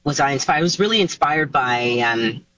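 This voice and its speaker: A woman, a singer taking part in an interview over a video call, answering casually with animated pitch swoops and many restarts. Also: moderate pace, dry recording. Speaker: singer, guest. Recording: dull, dry video call audio.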